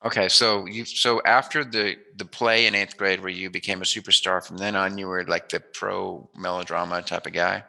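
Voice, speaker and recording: A man hosting a casual, joking interview, rambling and teasing and quick to laugh. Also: sits low, little pitch movement, gravelly, pushed and bright, low-quality recording. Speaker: interviewer, host.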